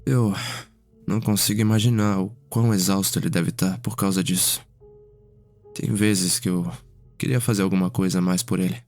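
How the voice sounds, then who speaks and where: A man playing a curious, reflective vampire noble in an ASMR roleplay, telling his story in an unhurried way with pauses. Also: low-pitched, fairly even intonation, darkish tone. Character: Cedric, curious, reflective male vampire noble, younger brother. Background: music.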